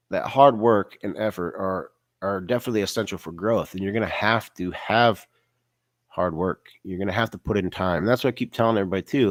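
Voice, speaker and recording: A man's voice: on the low side, dry recording, studio quality. A man with a stuffy, nasal voice from a cold, giving a casual motivational talk about mindset and business, often tagging on "right?" and "you know". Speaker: online business coach.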